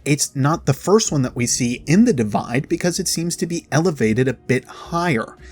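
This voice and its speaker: A man hosting a game lore video, delivering narrated commentary directly to viewers with dramatic pauses. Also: on the high side, expressive, a little mellow, slightly rough, no echo. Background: background music.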